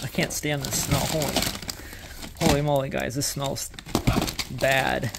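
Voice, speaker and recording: A man's voice: medium pitch, level tone, unhurried, creaky, heavy background noise. A man, vlogging in a storage unit and thinking aloud with lots of fillers and restarts as he sorts through items. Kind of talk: casual vlog narration while sorting items. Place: indoor self-storage unit.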